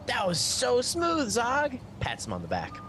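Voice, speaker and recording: A man's voice: very high-pitched, huge pitch swings, measured pace, background noise. A man playing a tabletop roleplaying game, switching casually between table chat and speaking as his character.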